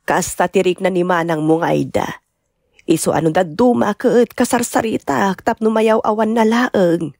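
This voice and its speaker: A woman's voice: mid-range voice, moderate pace, vocal fry, darkish tone, no echo, clean studio sound. A woman with an Ilocano accent playing a sorrowful, tender older mother in an audio drama. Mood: sad, worried. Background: music.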